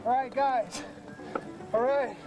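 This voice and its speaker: A woman's voice: high-pitched, slow, over music. A woman at an outdoor race, calling out commands to her team.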